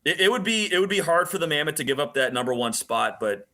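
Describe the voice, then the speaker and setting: A man's voice: on the high side, lively intonation, quick pace, pushed and bright. A man working as a hockey broadcaster, giving sports analysis as a guest and repeating phrases for emphasis. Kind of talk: animated sports talk, analysis.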